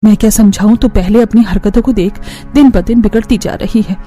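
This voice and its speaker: A woman's voice: mid-range voice, steady tone, brisk, mellow, faint music behind, close to an everyday voice. A woman voicing a stern, weary mother who scolds her daughter reproachfully in a family cartoon. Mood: exasperated, stern. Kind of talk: Hindi family cartoon voice acting.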